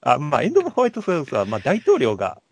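A man co-hosting a podcast, discussing films casually and politely in short, halting phrases. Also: fairly high pitch, huge pitch swings, slightly rough. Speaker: podcast co-host.

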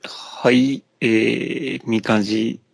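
A man's voice: mid-range voice, steady tone, slow, gravelly, slightly rough, no room sound. A man co-hosting a casual podcast, talking politely and supportively with an apologetic manner. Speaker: podcast co-host.

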